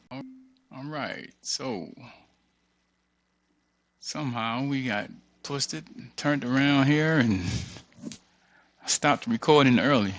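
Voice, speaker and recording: Gravelly man thinking aloud. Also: mid-range voice, fairly bright tone, no room sound. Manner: informal, mutters while reading on-screen text aloud. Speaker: tutorial creator. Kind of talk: screen-share walkthrough, thinking aloud.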